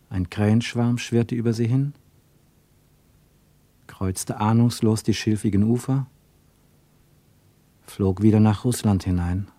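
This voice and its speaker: A man narrating a literary radio play with measured, deliberate phrasing. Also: lowish voice, dark tone, dry recording.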